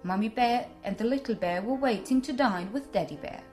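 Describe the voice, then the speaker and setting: A woman storyteller narrating a scripted bedtime tale for children in an expressive manner. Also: fairly high pitch, a little fast, soft background music.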